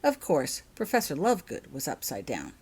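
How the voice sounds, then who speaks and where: A woman's voice: low-pitched, wildly swooping pitch, moderate pace, a little mellow, slightly rough. A woman reading her own fiction aloud as an audiobook-style story narration.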